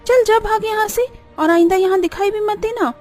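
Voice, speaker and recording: A woman's voice: very high voice, normal speed, a little mellow. A woman voicing a haughty, rude restaurant owner in a cartoon story, scolding and scornful towards the poor. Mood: scornful, angry. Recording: background music under the voice.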